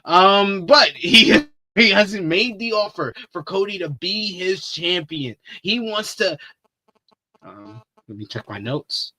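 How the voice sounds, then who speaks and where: A man hosting a wrestling preview talk show, commenting with animated, theatrical emphasis and drawn-out words. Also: high-pitched, low-quality recording.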